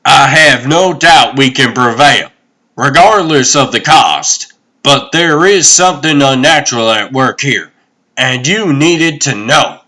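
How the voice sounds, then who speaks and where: A man's voice: medium pitch, expressive, unhurried, pushed and bright. A man voicing a loyal, earnest thunder-god lawman in a comic book dub, with grave, formal, archaic speech. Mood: urgent, solemn.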